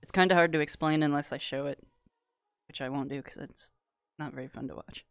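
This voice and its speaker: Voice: mid-range voice, fairly even intonation, brisk, clear, resonant tone, no echo. Casual livestreamer, dry close sound over music. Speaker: streamer. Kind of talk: casual livestream chat, answering viewer comments. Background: background music.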